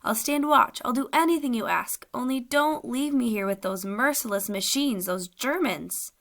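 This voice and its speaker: A woman's voice: medium pitch, expressive, moderate pace, fairly bright tone. A desperate young soldier begs earnestly, his voice rising and urgent, as a woman reader puts him on. He sounds lonely and frightened, pleading in a storybook reading. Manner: pleading, emotional.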